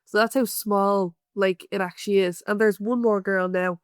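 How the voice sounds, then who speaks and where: An Irish woman in her young adult years chats as a guest, loose and filler-heavy, quick to laugh. Her voice sits at a middling pitch and the sound is dry and close. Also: fairly even intonation, clear, resonant tone, no echo. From Ireland. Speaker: guest stonemason. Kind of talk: casual interview chat. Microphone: remote call.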